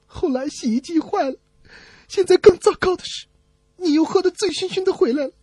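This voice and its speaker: A man's voice: very high voice, brisk, heavy background noise, pitched above the performer's own voice. A man voicing a fed-up, plaintive housewife complaining about her awful day in a comedy radio sketch. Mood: tearful complaint.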